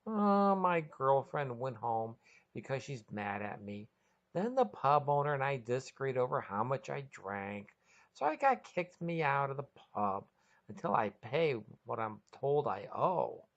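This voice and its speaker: A woman's voice: rumbling low, very animated, a little slow, highs cut off, exaggerated voice. A woman voicing a hapless, sheepish hard-drinking man in a storybook reading, hesitant and plaintive. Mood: sad, aggrieved.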